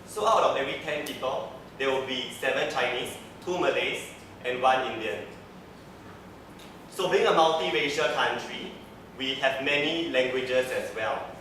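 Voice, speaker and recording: A man's voice: mid-range voice, moderate pace, sharp tone, slight background noise. Singaporean young man in an echoey classroom. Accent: Singaporean English. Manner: earnest, structured, repeats "so" to link points. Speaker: student presenter. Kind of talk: class presentation. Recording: echoey classroom, mic far off.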